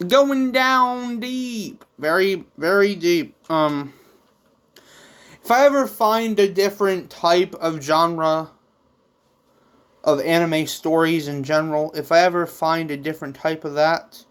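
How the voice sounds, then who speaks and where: Slow talking youtuber, mid pitch, rambly casual update to viewers, bit theatrical. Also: a bit bright, smooth. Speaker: YouTube host and manga creator.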